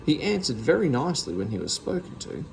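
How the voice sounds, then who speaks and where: Male story reader, children's read-aloud, lively intonation, slightly creaky, faint background noise. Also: medium pitch, fast talker, low-quality recording.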